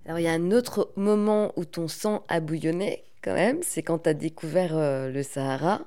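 A woman interviewer asking measured, precise questions. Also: low-pitched, mellow.

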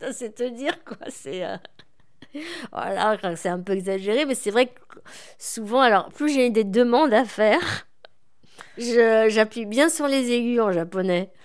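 A woman in her forties, a guest in an interview, speaking reflectively with many pauses and fillers. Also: on the high side, smooth. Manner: reflective, hesitant with frequent fillers. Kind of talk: conversational interview.

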